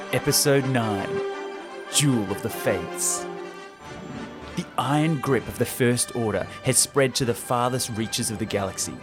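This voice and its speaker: A man hosting a show, retelling a film script with enthusiastic, chatty asides. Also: mid-range voice. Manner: enthusiastic, banters with co-host. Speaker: podcast host. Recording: loud music behind the voice.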